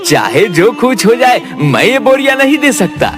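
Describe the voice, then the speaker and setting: A man's voice: very high-pitched, very animated, normal speed. A man voicing a stubborn miserly merchant in a comic cartoon, pleading and insistent. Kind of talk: cartoon comedy story acting. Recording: music behind.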